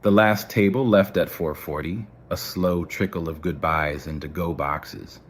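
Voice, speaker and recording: A man's voice: sits low, measured pace. A man narrating a scripted audio story as its storyteller.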